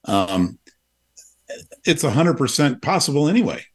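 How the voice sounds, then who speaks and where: A man's voice: medium pitch, sing-song, a little slow, husky. A man in his sixties hosting a radio talk show, speaking reflectively with frequent restarts and fillers. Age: 60s. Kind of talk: casual conversation.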